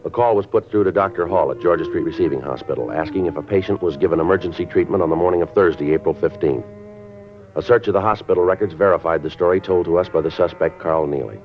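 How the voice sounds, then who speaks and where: A man acting a terse, stoic police detective, speaking in a clipped, matter-of-fact deadpan in 1950s radio drama style. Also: low voice, fast, soft background music. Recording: dull vintage radio recording.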